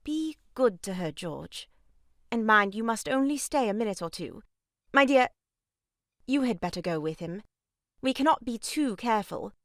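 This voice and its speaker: Bossy society matron, gushing and brisk, highish swooping voice for comic audio drama. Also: female voice, lively intonation, a bit bright, no echo, clean studio sound. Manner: brisk, gushing, self-important. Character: bossy, gushing married sister-in-law, sharp-tongued matron. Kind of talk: stage farce audio drama acting.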